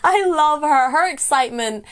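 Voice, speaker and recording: A woman's voice: high-pitched, expressive, moderate pace. Bubbly congested young woman reacting. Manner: bubbly, laughs often, enthusiastic. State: blocked nose, congested. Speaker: movie reaction commentator. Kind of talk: casual reaction commentary.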